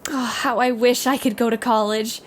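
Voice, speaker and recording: A woman's voice: a little high, normal speed, lightly acted. A woman playing a spirited, tomboyish teenage girl in a period audio play, youthful and eager. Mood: eager, curious. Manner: eager, expressive.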